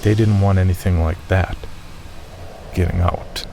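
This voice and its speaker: A man's voice: low-pitched, steady tone, measured pace, vocal fry, noisy background. A man reading a scripted first-person horror story as its narrator.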